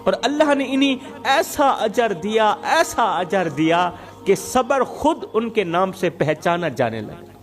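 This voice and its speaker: A man's voice: high voice, big pitch swings, measured pace. A man preaching in an emotive religious storytelling style, dramatic and rhetorical, repeating phrases for emphasis. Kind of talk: emotive Urdu religious sermon with moral storytelling. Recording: background music under the voice. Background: music.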